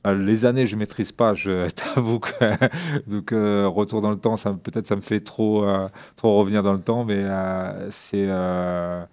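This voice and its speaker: Deep flat-voiced man. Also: clean tone. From Réunion. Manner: halting, many fillers and restarts. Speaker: MMA coach and former champion. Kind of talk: interview.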